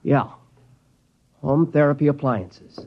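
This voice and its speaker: An actor playing an anxious, desperate man with murderous urges in 1950s radio drama, agitated and halting. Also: fairly high pitch. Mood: tormented, brooding. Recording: muffled, hissy 1950s radio recording.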